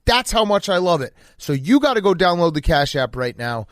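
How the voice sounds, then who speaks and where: A man's voice: high voice, very animated, a little fast, no echo, studio quality. A sleep-deprived man hosting a podcast with casual, blunt, slangy sports banter and joking. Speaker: podcast host.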